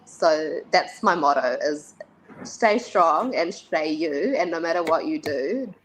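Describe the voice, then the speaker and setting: A woman's voice: low-pitched, expressive, slow pace, rough recording. A woman chatting casually as an interview guest on a video call. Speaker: guest, pageant candidate. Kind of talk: casual conversation. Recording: video call with faint background noise.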